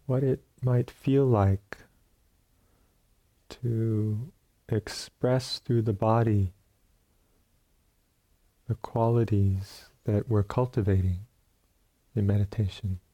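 A man teaching guided meditation in a very soft, dark, relaxed voice. He speaks on the low side and very slowly, leaving long pauses between short phrases. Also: highs cut off.

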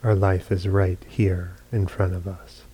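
Male meditation teacher, dark soft-edged tone, very slow pace, short measured phrases, long pauses. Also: low-pitched, little pitch movement, slightly rough, rough recording. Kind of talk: guided meditation. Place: meditation retreat hall.

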